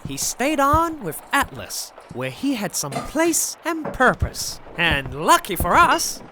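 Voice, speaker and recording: A woman's voice: fairly high pitch, wildly swooping pitch, slow, pushed and bright, slight background noise, exaggerated voice. A woman playing a wry, feisty country woman in an audio drama, talking at length in folksy, colorful phrases. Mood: wry storytelling. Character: garrulous, wry, feisty country woman telling old tales. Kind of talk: audio drama acting, storytelling.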